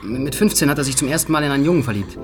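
An actor playing a young adult son in a radio drama, speaking in a casual, earnest and reflective way. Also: male voice, mid-range voice, expressive, racing, over music, close to an everyday voice. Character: candid, thoughtful grown son of the inspector. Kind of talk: naturalistic German radio drama acting.

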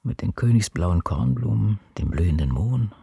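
A woman's voice: very deep voice, lively intonation, moderate pace, creaky, slightly rough, exaggerated voice. An older woman playing an ageing, world-weary writer confiding dryly and introspectively in her diary in a radio play. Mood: dry, reflective. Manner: brooding, dry, introspective. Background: soft music.

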